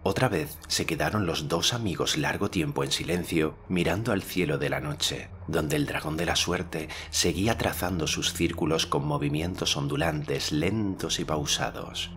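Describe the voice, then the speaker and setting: A man narrating an audiobook in a slow, calm, deliberate manner. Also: very deep voice, a little hiss or hum. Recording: music bed under narration.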